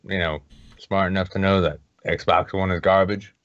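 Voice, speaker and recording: A man co-hosting a podcast, talking in a mocking, animated way and laughing often. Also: low voice, normal speed, no room sound, highs cut off. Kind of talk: casual podcast chat.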